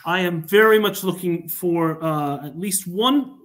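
A man talking informally as a podcast guest on a video call, in a halting, hesitant, wordy way. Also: sits high, a bit bright. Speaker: podcast guest, fan production creator. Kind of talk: informal project talk.

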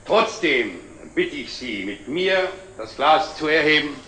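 An actor playing a jovial, self-made older company director, giving a proud, deliberate speech in a 1960s German TV drama. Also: male voice, a little high, sing-song, pushed and bright, full character voice. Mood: proud, solemn. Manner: expansive, deliberate speechmaking with wry chuckles. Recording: slightly noisy old 1960s TV soundtrack.

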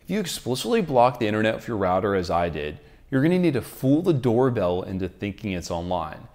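A man's voice: on the low side, big pitch swings, a little fast, a little mellow. A man presents an explanatory tech video to camera. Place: quiet living room, indoors. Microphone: lapel microphone.

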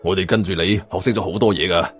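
A man's voice: sits low, fairly even intonation, fast, fairly bright tone, pitched below the performer's own voice. A man voicing a loyal, earnest Cantonese henchman in an audiobook, subdued and respectful. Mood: earnest, humble. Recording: music bed behind voice.

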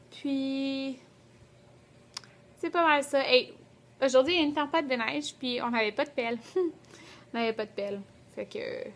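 A young woman with a Quebec French accent and a fairly high voice talks like a vlogger at home. She is chatty and colloquial, holding the camera at arm's length in a small room. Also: fairly bright tone, a little hiss or hum. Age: 20s. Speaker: beauty vlogger. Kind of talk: casual vlog chat to camera. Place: small rooms at home, indoors.

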